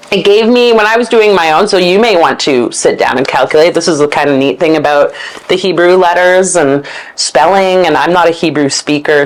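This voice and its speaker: A woman hosting a meandering spoken monologue, reading passages aloud and thinking out loud with pauses and fillers. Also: lowish voice, poor audio. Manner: meandering, searching, with fillers and self-corrections; reads passages aloud from a book. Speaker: esoteric channel host.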